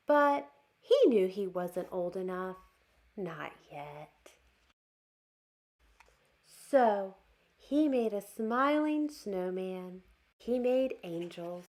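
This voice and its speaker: A woman with a fairly high voice reads a picture book to little children. Her delivery is very animated and unhurried, with drawn-out words for emphasis, in the expressive manner of a storytime host. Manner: expressive, drawn-out words, asks listeners questions. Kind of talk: animated read-aloud for young children.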